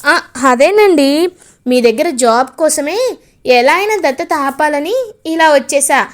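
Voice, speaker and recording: A woman's voice: high voice, expressive, unhurried. A woman voicing an earnest young woman who reassures and pleads. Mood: reassuring.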